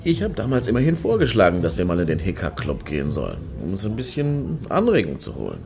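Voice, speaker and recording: A man acting in a satirical radio play as a brash, opinionated middle-aged club-goer with slangy, sarcastic talk and a gravelly voice. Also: sits low, huge pitch swings, fast talker, a little mellow, a little hiss or hum, exaggerated voice. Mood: impatient, sardonic. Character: brash, randy, opinionated man queuing outside a Berlin club.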